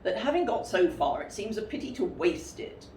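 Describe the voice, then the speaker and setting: A woman voicing a brisk, stern, fussy male rabbit in a storybook, emphatic and matter-of-fact as he scolds. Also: mid-range voice, big pitch swings, warm tone, close to an everyday voice. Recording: roomy, distant mic with faint noise.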